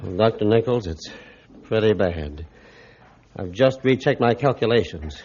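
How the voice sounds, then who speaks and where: Grave, commanding ship's captain. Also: male voice, fairly low pitch, expressive, a little mellow, a little hiss or hum. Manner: measured, formal, authoritative. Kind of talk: 1950s radio drama acting. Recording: dull 1950s radio recording.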